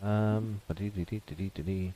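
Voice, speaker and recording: A man's voice: on the low side, steady tone, moderate pace, mellow, a little hiss or hum, rough recording. A man playing in a tabletop game, chatty and self-mocking, sometimes counting aloud. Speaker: player. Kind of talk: casual tabletop game chat. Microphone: podcast mic.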